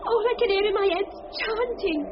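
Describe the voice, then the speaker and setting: A woman's voice: very high voice, brisk. An actress playing a bewildered, dreamy country girl of centuries past, speaking plaintive archaic in a radio drama. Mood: bewildered, entranced. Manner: plaintive, archaic rustic speech. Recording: dull radio recording, noisy background.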